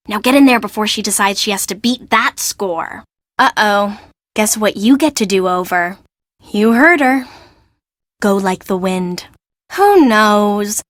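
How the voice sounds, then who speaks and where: A woman voicing an exasperated, bossy young assistant to an eccentric fashion designer in a video game, brisk, expressive and sarcastic. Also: a little high, no room sound, studio quality, natural voice. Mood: explaining, wry.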